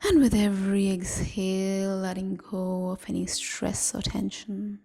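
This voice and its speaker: A woman leading a guided meditation in a gentle, soothing, half-whispered voice, leaving long pauses between phrases. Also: mid-range voice, fairly even intonation, gravelly. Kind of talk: guided evening body scan meditation.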